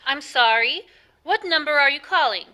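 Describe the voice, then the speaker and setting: An actress playing a polite, unflappable and unhelpful telephone operator in a 1940s style radio play. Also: female voice, high voice, expressive, normal speed, bright, forceful tone. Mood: routine, unhelpful. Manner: polite, formulaic, detached. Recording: clearly noisy background with clicks.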